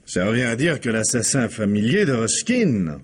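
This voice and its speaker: Blustery, animated police doctor. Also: male voice, mid-range voice, moderate pace, husky. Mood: questioning. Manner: exclamatory, grumbling. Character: blustery, astonished male police laboratory doctor. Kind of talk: French radio crime drama acting.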